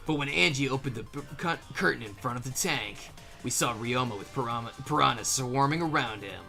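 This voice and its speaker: Guy reading a murder recap all dramatic but jokey, fry in his voice, music behind him. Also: mid-range voice, a little fast, pushed and bright. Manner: jokey, stumbles over lines and breaks off into banter. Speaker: fandub performer reading the game's case summary. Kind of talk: dramatic scripted reading broken by casual banter.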